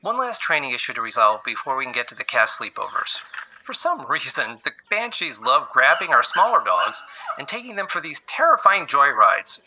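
An actor playing an earnest, easily exasperated animal trainer in a comedic audio drama, speaking emphatically and matter-of-factly. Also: male voice, a little high, pushed and bright, a little hiss or hum, heavily acted, poor audio.

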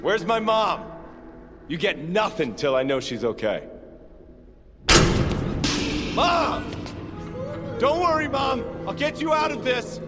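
An actor playing a wisecracking, defiant grown son on a rescue, with flippant deadpan sarcasm, in an audio drama. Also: male voice, very high voice, exaggerated voice. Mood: defiant, urgent. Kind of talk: audio fiction acting.

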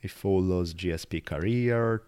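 Deep-voiced man with an Italian accent. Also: a little slow, darkish tone, clean tone. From Italy. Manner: earnest, profane, emphatic. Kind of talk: casual reflective conversation.

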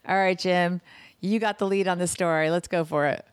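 Hoarse woman podcast host, dry sound. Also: fairly low pitch, fairly even intonation, no room sound. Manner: upbeat, chatty, quick asides. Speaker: podcast host and financial planner. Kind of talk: casual podcast chat. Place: home kitchen.

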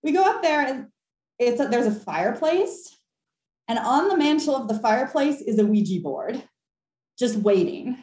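A young woman telling a ghost story on a podcast call, animated and laughing, with lots of fillers. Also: fairly high pitch, normal speed. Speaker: caller. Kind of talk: casual storytelling. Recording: echoey room.

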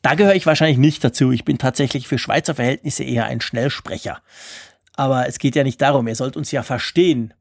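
A man's voice: mid-range voice, brisk, gravelly, dry recording, studio quality. A man from Switzerland with a Swiss accent, talking casually and at length as co-host of a tech podcast, in a self-deprecating way.

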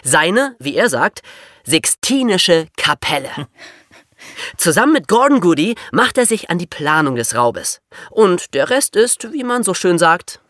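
A man's voice: high-pitched, big pitch swings, measured pace, fairly bright tone, no room sound, clean studio sound. A male co-host of a children's podcast, narrating in a storytelling style.

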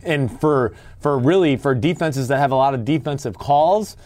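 A man's voice: mid-range voice, moderate pace, darkish tone. Joking man on a sports podcast. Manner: joking, teasing, swears freely. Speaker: podcast co-host. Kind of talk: casual sports chat.

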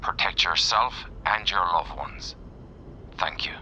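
An actor playing a stern federal special agent giving a formal, authoritative press briefing in an audio drama. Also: male voice, sits low, slow. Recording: muffled radio news clip, faint noise.

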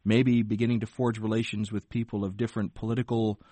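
Radio host, male, lowish voice, measured and thoughtful, dry booth sound. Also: flat delivery, mellow, no room sound. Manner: measured, thoughtful questions with restarts. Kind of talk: public radio interview.

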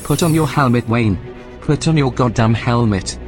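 A calm male artificial intelligence played by a man runs a research station in a science-fiction audio drama. He speaks in a formal, clinical and politely measured way at a middling pitch. Faint music sits behind him. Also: lightly acted. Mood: urgent, commanding.

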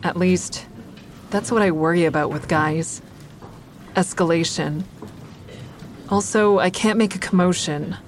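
A woman's voice: lowish voice, a little slow, pauses often, a little hiss or hum, natural voice. An actress playing a wry stand-up comedian who narrates her own story conversationally in an audio drama. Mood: wry, reflective.

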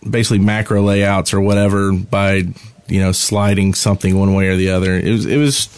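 A man's voice: low-pitched, fairly even intonation, a little fast, a little mellow, clear, resonant tone, no echo. A man co-hosting a casual gaming podcast, talking in a loose, rambling way with hesitant explanations. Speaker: podcast co-host.

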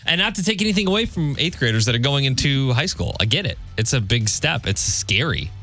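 A man's voice: mid-range voice, sing-song, brisk, bright, forceful tone. A man hosting a radio show, chatting casually and sharing quirky news in a jokey, conversational way. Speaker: radio host.

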